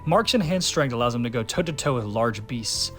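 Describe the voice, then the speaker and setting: A man's voice: mid-range voice, animated, fairly quick, fairly bright tone, soft background music. A man narrating a scripted fan breakdown, mixing analysis with storytelling. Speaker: narrator of a fan what-if video.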